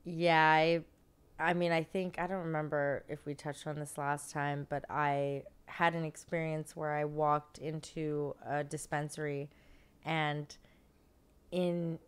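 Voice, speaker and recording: This is a woman with a notably low, smooth and resonant voice. She co-hosts an informal chat and talks in a loose, halting way. Her sentences often branch into little asides. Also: flat delivery. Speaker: podcast co-host, cannabis industry professional. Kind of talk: casual chat.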